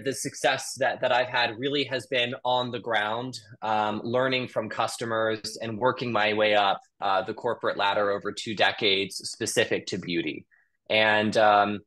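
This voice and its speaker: An American man in his forties speaks with a bright, forward voice set a bit low. He is earnest and measured, leaving deliberate pauses. The delivery is that of a motivational talk to students on a video call. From Texas, United States. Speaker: creative executive, guest speaker.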